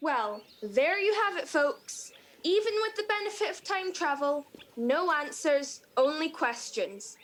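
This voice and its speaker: A woman's voice: high-pitched, fairly bright tone, slight background noise. A woman playing an earnest, polite and inquisitive news reporter in a comedy radio play. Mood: resigned.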